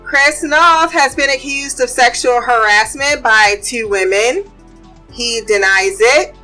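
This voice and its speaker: A woman's voice: a little high, takes it slowly, bright, forceful tone, soft background music. A woman hosting a casual podcast, running through celebrity gossip and fun facts, chatty and blunt, swearing freely. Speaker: podcast host. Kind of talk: casual pop culture chat and fun facts.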